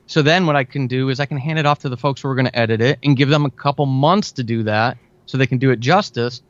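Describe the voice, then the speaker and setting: A man chats enthusiastically and earnestly as a guest writer in an interview. His pitch is average, and the sound is dry and somewhat dull, with a limited lo-fi band. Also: fast, no echo. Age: 40s. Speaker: guest, fiction and audio drama writer. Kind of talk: casual conversational interview.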